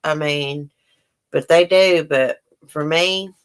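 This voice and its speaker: A woman's voice: deep voice, takes it slowly, clean tone. A woman with a Southern US country accent, chatting bluntly and casually and swearing freely. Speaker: host of the show. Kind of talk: casual chat.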